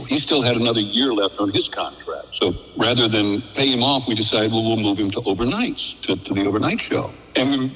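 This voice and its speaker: An older man, an interview guest and former radio programmer, telling casual anecdotes in an animated way and acting out exchanges. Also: medium pitch, long reverb tail, low-quality recording.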